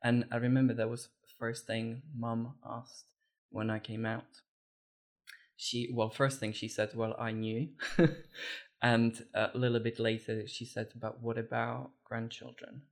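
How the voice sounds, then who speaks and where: A man in his thirties, born and raised in the Republic, telling his story earnestly with halting restarts as a podcast guest. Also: medium pitch, flat delivery. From Czech Republic. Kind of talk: conversational storytelling.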